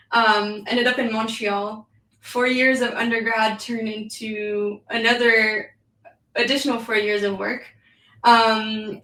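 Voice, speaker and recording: A woman's voice: a little high, sharp tone, roomy sound, distant mic, poor audio. A woman in her thirties from New York City with a French accent, chatting as a guest with lots of "um" and "like.". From New York City, United States. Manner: chatty, with frequent "um" and "like" fillers. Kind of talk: casual interview.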